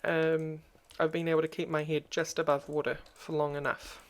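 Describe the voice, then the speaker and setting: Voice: medium pitch, little pitch movement, normal speed. A YouTuber with a crackly voice, talking in a subdued and hesitant way in a personal vlog, with frequent ums. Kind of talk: personal vlog talk to camera.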